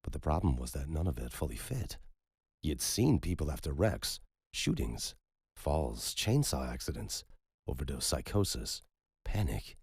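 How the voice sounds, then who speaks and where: A man narrating a thriller audiobook in a steady, measured way. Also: rumbling low, vocal fry, slightly rough, dry recording, studio quality.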